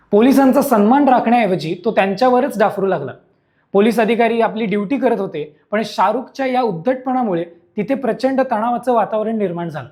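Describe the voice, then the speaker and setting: A man's voice: high-pitched, a little mellow. Dramatic male storytelling narrator. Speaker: YouTube channel narrator.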